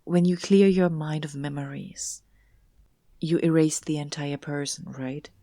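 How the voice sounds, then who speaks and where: A woman playing a guarded, soothing police detective in an audio drama, with a breathy, often whispery and measured delivery. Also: sits low, fairly even intonation, dark tone, no echo, studio quality. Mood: hesitant, probing. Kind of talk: hushed audio drama acting.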